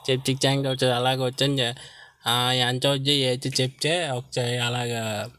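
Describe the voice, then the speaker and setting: A man's voice: mid-range voice, little pitch movement, moderate pace, pushed and bright, smooth, no room sound. A man casually making a comedy prank call, persistent and mock-earnest in his pleading. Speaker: prank caller and host.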